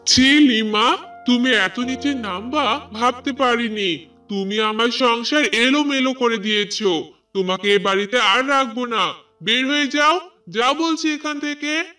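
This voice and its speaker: A very high, sharp actor playing an indignant village husband in a cartoon. He is loud and demanding, drawing out his words over music. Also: a little slow, far from the mic, exaggerated voice. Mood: angry. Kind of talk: cartoon voice acting.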